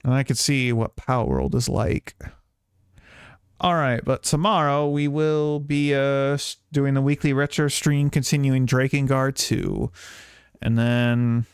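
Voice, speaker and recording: A man's voice: mid-range voice, measured pace, clear, resonant tone, dry recording, clean studio sound. A man chatting casually to viewers as a game streamer, rambling along and swearing now and then. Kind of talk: casual livestream chat to viewers.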